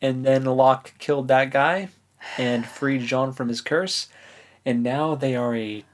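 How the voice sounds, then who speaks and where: Man, book podcast co-host, casual recap, conversational, medium pitch. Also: steady tone, takes it slowly.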